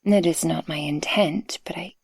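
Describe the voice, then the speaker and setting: A woman playing a tender succubus guardian in an intimate, soft-spoken ASMR roleplay, affectionate and breathy. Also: deep voice, normal speed, dry recording, full character voice. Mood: shy, earnest. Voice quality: breathy, husky. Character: tender, possessive female succubus guardian, playful and shy in love.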